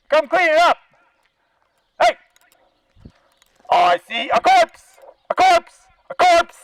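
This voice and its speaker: Very high-pitched, indignant Canadian man on bullhorn. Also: fairly bright tone, no room sound, poor audio. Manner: indignant, sarcastic, profane, confrontational. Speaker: animal-welfare activist. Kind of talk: angry protest rant through a bullhorn. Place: outdoors by a zoo fence.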